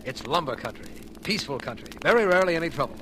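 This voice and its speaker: An actor playing a brisk, fretful, skeptical district attorney in a 1940s radio drama. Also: male voice, fairly high pitch, big pitch swings. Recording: noisy old 1940s radio recording.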